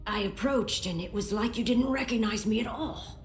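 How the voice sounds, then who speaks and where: An actress playing a calm, caring bard companion in a fantasy video game, measured and serious in manner. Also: female voice, fairly high pitch, close to an everyday voice. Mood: concerned. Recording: echoey, faint music behind.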